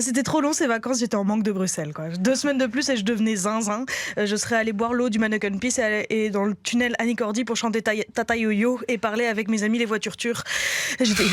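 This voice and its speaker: A woman, delivering a humorous, self-mocking column on a radio morning show and laughing between her jokes. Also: high voice. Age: 20s. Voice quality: voice like a teenager breaking. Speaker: comedy columnist. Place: radio studio.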